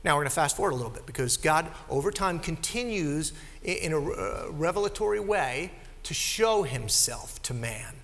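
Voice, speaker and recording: A pastor in the pulpit, a man with a nasal, high-pitched voice. His delivery is lively and conversational, full of asides to the congregation. The recording carries the long reverb of a big sanctuary. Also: normal speed. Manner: conversational, animated preaching with asides. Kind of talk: sermon. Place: church sanctuary. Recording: live sermon in a reverberant hall.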